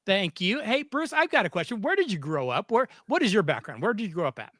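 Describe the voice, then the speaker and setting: An eager male podcast host with a high, bright, forward voice runs a casual sports interview. The sound is dry and close, with no room echo around it. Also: very animated, fast. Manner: eager, enthusiastic introductions and questions.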